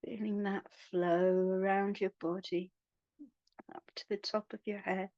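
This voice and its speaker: A woman guiding a meditation in a soothing, hushed manner, leaving long pauses between phrases. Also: medium pitch, little pitch movement, no room sound, lo-fi sound. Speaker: meditation guide. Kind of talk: guided meditation.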